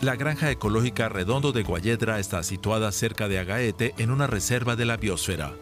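Lowish guy reading a news report, calm even delivery, music bed loud under him. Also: a little fast. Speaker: news narrator. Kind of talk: scripted news voice-over.

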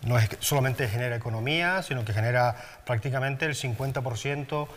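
A man gives interview answers in a hesitant way, pausing on fillers as he speaks. His voice has a middle pitch. It carries a long room reverb. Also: a little hiss or hum. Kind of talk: interview statements.

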